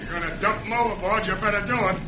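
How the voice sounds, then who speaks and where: An actor playing a rough bandit henchman with an American Western accent in an old radio western. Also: high-pitched, rapid-fire, natural voice. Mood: urgent. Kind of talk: 1940s-1950s radio acting. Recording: very noisy old radio drama recording.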